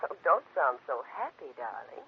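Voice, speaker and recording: An actress playing a silky, scheming ex-wife in a 1940s radio drama, husky, mock-sweet and needling. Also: female voice, extremely low pitch, sing-song, moderate pace, slight background noise, full character voice. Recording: phone-like old 1940s radio recording.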